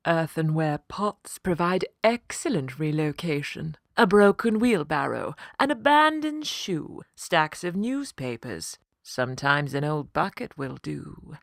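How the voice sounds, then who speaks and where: Woman doing a posh-mannered boss cat for a kids book, firm and grand, slow lowish voice, kind but strict. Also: expressive, creaky, dry recording, clean studio sound, exaggerated voice. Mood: businesslike, poised. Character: canny, stern yet kind female cat professional. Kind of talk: children's storybook character voice.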